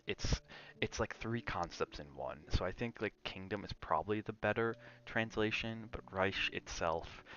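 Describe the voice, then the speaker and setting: Deep-voiced man streaming, slow and low. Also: fairly bright tone, dull audio. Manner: rambling, drifting between chat and game reactions. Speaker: game streamer. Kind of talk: casual ASMR gaming livestream chat.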